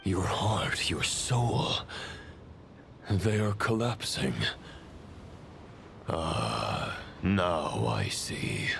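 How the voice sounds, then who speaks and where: A man playing a cold, solemn supernatural judge of souls in a video game, with grave, formal, measured delivery. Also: low voice, sing-song, vocal fry, a bit bright, heavily acted. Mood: probing. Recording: music behind the voice.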